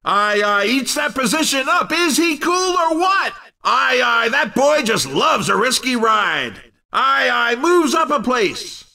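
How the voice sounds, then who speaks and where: High-pitched, hyped-up racing game commentator. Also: male voice, big pitch swings, slow, vocal fry, bright, forceful tone, far from the mic, exaggerated voice. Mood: excited, admiring. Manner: punchy exclamations, hyped announcer delivery. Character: exuberant, cheeky male race commentator in a kart game. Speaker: race commentator.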